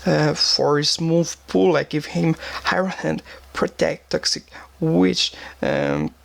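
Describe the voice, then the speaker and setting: Young male gaming host, Quebec French accent, slightly high voice with a gravelly edge, halting delivery. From Quebec, Canada. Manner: halting, many fillers and restarts, searching for words. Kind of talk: game teambuilding commentary.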